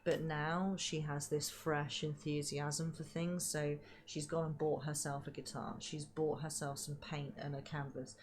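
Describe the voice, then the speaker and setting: A woman giving a casual tarot reading, chatty with many fillers, her voice a little hoarse as she loses it. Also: sits low, flat delivery, a little slow, few pauses. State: losing her voice. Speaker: tarot reader.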